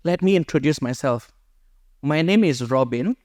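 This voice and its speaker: A man's voice: a little high, animated, normal speed, a little mellow, dry recording, clean studio sound. Earnest man at a microphone. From Indonesia. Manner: earnest, conversational, rephrases as he goes. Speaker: friar sharing his story with a group. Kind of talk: personal sharing talk to a small group.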